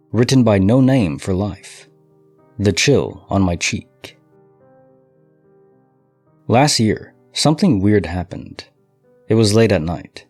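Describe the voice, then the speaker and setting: A man hosting a podcast, reading listener stories aloud and musing on them in a relaxed, speculative way with light chuckles. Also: lowish voice, no echo, clean studio sound. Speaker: podcast host.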